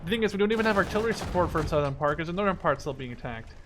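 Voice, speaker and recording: Man in his twenties, slightly high voice, informal game commentary, thinking aloud, gunfire and artillery noise behind. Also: lively intonation, very fast. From Netherlands. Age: 20s. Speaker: gaming video creator. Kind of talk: casual strategy game commentary. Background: game battle sounds, gunfire, artillery.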